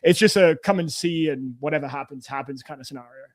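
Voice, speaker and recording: A man's voice: on the high side, quick pace, dry recording. A man chatting as a guest on a tech podcast, loose and full of fillers. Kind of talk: casual panel chat.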